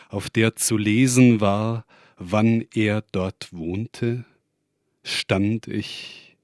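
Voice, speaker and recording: A man recites poetry in a fairly low voice. His pace is extremely slow, with each short phrase set apart and measured. The sound is dry, with hardly any room around it. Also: darkish tone, dry recording. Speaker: poet reading own work. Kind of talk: poetry reading.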